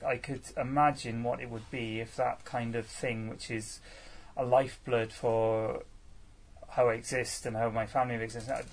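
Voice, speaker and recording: Man, 30s, poet from Wales, halting live Q&A, self-deprecating, mid pitch. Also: little pitch movement. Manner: hesitant, many fillers and restarts, self-deprecating. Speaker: featured poet answering audience questions. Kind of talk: casual live Q&A at a poetry salon.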